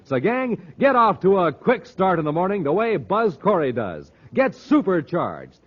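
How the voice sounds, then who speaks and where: A man's voice: sits high, big pitch swings, measured pace. An American man announcing and narrating in a dramatic, punchy, scripted 1950s radio style. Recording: muffled, hissy old radio recording.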